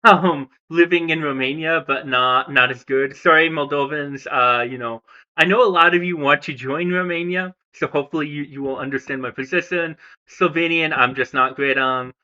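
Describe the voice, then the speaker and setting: A man from the United States rambles casually on camera, stopping and restarting his sentences with plenty of ums. His voice is bright and a touch high. The sound is dull and lo-fi. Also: moderate pace. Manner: hesitant, lots of fillers and restarts. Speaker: language vlogger.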